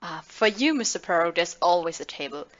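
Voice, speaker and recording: A woman's voice: lowish voice, lively intonation, brisk, natural voice. A woman playing an attentive café hostess in an audio play, courteous and eager to please. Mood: obliging. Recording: muffled audio, music behind.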